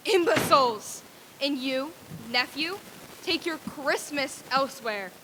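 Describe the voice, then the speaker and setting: A woman's voice: sits high, lively intonation, slow, background noise. A woman playing a grumpy, miserly old businessman, curt and scornful, in a stage reading of a radio play. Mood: angry, dismissive.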